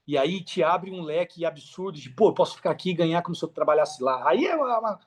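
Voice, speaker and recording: Casting: Brazilian male podcast guest, fairly high register, informal advice-giver with colloquial storytelling. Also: expressive, quick pace. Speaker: podcast guest, affiliate marketer. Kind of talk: casual podcast conversation, giving advice.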